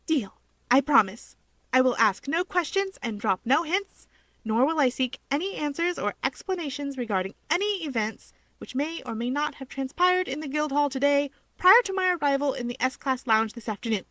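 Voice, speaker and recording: A woman voicing a perky young female wizard in a story reading, playful, quick-witted and encouraging. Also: high voice, animated, a bit bright, no echo, voice pushed up. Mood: eager. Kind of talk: fan fiction character reading.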